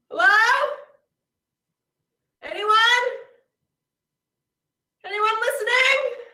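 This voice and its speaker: A woman playing a self-important female cartoon voice actress in a comic stage monologue, with theatrical swings in pitch and loudness. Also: heavily acted. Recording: roomy, from a distant mic.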